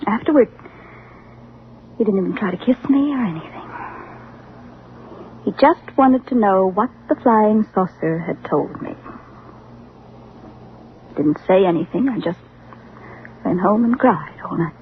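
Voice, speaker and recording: A woman's voice: mid-range voice, unhurried, pauses often, natural voice. An actress playing a bitter, lonely young woman, guarded and plainspoken, in a vintage radio drama. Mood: wounded, wistful. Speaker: actor in dramatic role. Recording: tinny, noisy vintage radio recording.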